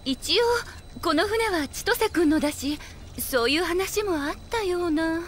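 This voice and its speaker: A woman's voice: very high-pitched, expressive, measured pace, a little hiss or hum. A woman playing a motherly young anime character who scolds, in an audio drama. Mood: mild, hesitant.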